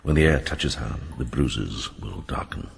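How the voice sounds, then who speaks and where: A British man acting in a radio drama as a shrewd, kindly older medieval monk, deep and gravelly, speaking in a measured, reassuring way. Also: animated, full character voice. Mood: grave, analytical.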